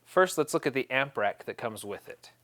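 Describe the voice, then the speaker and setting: A man's voice: mid-range voice, animated, moderate pace, a bit bright, no room sound. A man presenting an instructional equipment setup tutorial, explaining each step in a plain, practical way.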